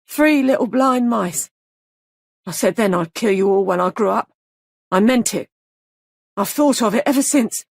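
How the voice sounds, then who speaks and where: A woman's voice: mid-range voice, creaky, husky, dry recording, clean studio sound. A woman voicing a deranged young male killer whose voice slips into a child's, menacing and childish.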